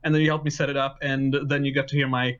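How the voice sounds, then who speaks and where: A man's voice: medium pitch, flat delivery, fast, a bit bright, lo-fi sound. A man from Sweden with a Swedish accent, a guest in a casual chat who tells things in an easygoing way with dry humor.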